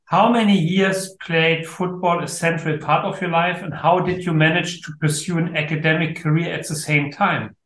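Guy hosting an interview podcast, formal measured, slightly high voice, echoey. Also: fairly even intonation, fairly bright tone. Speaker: podcast host, professor. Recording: echoey room.

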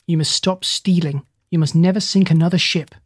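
A woman reads a kindly animal doctor in a children's storybook. He is polite yet morally stern, giving measured orders in a slightly husky voice with no room sound. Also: fairly low pitch, steady tone, no echo, clean studio sound. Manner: measured, firm, polite commands.

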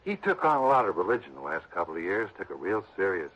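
A man's voice: deep voice, very animated, fast talker, a bit bright, heavily acted. An actor playing a lazy, blunt man who inherits from a dead relative, drawling and defensive, in a 1960s radio drama. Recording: dull lo-fi old radio broadcast.

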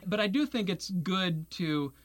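A man with a bright, fairly high voice answers interview questions. He explains his ideas in an earnest, measured way, now and then restarting a phrase mid-thought. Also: lively intonation. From Taiwan. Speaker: guest, securities lawyer. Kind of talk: radio interview.

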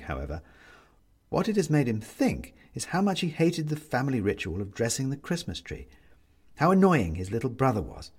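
Male narrator for literary fiction. Slightly raspy tone, animated pitch movement, dry wit, deliberate phrasing. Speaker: narrator reading a story. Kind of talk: scripted literary storytelling narration.

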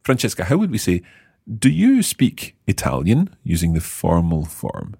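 A man's voice: low voice, vocal fry, husky, dry recording, studio quality. A man hosting a language lesson, explaining phrases patiently and quizzing his co-hosts. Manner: patient, lively, repeats phrases slowly. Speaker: language teacher and host.

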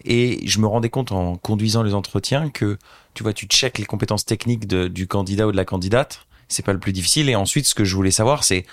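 A French man in his thirties speaks as an interview guest, recounting a story with lively, animated turns. His voice sits on the low side, relaxed and conversational. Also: fast. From France. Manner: animated anecdotal storyteller, addresses host directly. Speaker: guest, social entrepreneur.

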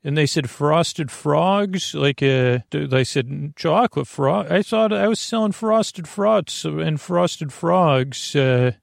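Creaky-voiced man rambling softly through bedtime talk. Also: on the high side, animated, slow, hardly any pauses, no echo, studio quality. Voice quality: creaky, gravelly. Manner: meandering, stammering, loses his thread. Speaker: host of a bedtime sleep podcast.

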